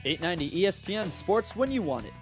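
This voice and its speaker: Guy doing radio news and sports, kinda high voice, music playing under him. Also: big pitch swings, fast. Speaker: radio news and sports anchor, talk show host. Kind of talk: broadcast radio news, sports updates and sports talk. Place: radio studio.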